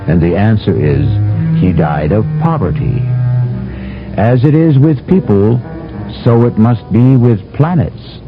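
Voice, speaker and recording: A man's voice: fairly low pitch, expressive, unhurried. A man hosting a radio mystery show, telling a solemn storyteller's introduction. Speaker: radio host. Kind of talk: scripted narration. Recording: dull old radio recording with music.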